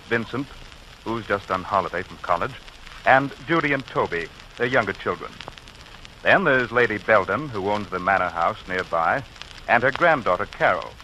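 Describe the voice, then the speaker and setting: Bright, forceful 1940s radio announcer man. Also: lowish voice, slight background noise, low-quality recording. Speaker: announcer and narrator. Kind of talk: 1940s radio scripted narration. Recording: old 1940s radio recording.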